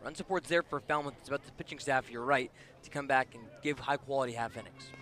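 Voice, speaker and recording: A man's voice: medium pitch, fast, fairly bright tone. A man giving color commentary on a baseball radio broadcast in a conversational, analytical way. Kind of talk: live radio sports analysis.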